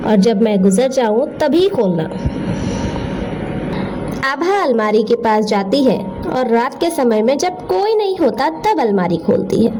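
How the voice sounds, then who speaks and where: A woman narrating a bedtime story in a slow, expressive storytelling manner. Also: on the high side, poor audio. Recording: narration over loud music.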